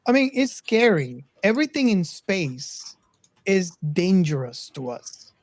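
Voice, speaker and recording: A woman with a slightly low voice hosts a casual discussion in a very dry recording. She addresses listeners deliberately, doubling back on phrases to stress them. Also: very animated, a little mellow, dry recording. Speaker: podcast host.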